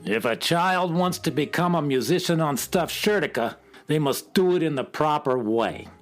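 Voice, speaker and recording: A man's voice: fairly high pitch, normal speed, close to an everyday voice. A man playing a pompous, stern alien inspector of the music police, severe and officious, in a children's radio play.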